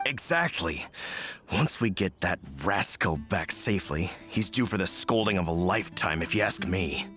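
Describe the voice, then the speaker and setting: A man playing a gruff but decent village fellow in a video game, folksy and forceful. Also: deep voice, expressive, normal speed, faint music behind. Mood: scolding.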